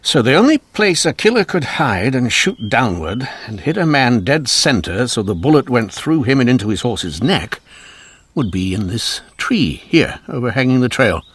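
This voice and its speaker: An actor playing a shrewd, composed Victorian-era police inspector in a radio mystery, speaking in a measured, explanatory way. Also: male voice, mid-range voice, very animated, a bit bright, breathy edge. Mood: musing, confident. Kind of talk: old-time radio mystery drama acting.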